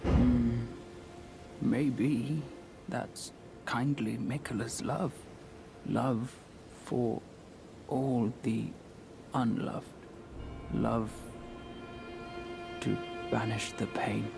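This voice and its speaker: An actor playing a slow, sorrowful, childlike creature in a video game, speaking in halting, simple broken sentences. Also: male voice, mid-range voice, fairly even intonation, creaky. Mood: slow, wistful. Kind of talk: video game character acting. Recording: loud music behind the voice. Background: music.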